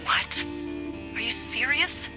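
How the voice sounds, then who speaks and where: Over a walkie-talkie with music behind, a wry, frazzled woman supervising a fire lookout. Her delivery is natural and conversational, with an exasperated edge, in a video game style. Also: slow pace. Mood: alarmed.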